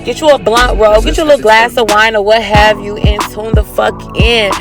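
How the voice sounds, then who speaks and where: A woman host opening her podcast episode in a drawn-out, sing-song way. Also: mid-range voice, steady tone. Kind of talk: show intro. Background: hip hop music.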